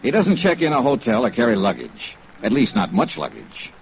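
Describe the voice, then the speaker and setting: An American actor playing a dogged, wry insurance investigator in a 1950s radio drama, clipped and hard-boiled. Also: male voice, mid-range voice, huge pitch swings, moderate pace, creaky. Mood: dry, determined. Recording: dull old radio recording, rain noise over it.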